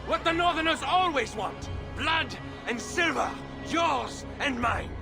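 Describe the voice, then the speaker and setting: Very high, creaky cynic. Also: male voice, expressive, unhurried, heavily acted. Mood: grim. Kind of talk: video game character acting. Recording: music behind the voice.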